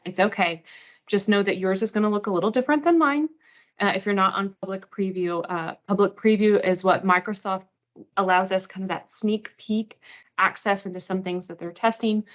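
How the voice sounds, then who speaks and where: A woman hosting a live software training webinar in an informal, self-deprecating, reassuring way. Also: mid-range voice. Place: online video meeting. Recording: dull lo-fi online meeting audio.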